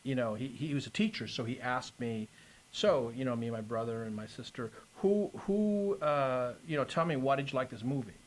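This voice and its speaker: A man's voice: mid-range voice, lively intonation, normal speed, poor audio. A man from Queens, New York, appearing as an actor guest on a radio show and telling his story with many restarts and ums. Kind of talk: interview storytelling. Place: radio studio.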